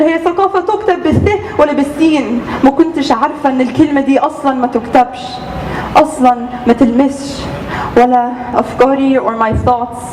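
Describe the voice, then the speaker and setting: High-voiced young woman performing poetry. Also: darkish tone. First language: Arabic. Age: teens. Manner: dramatic, rhythmic, impassioned delivery with repetition. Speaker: student speaker. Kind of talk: spoken-word poetry performance. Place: auditorium stage. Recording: echoey hall with background noise.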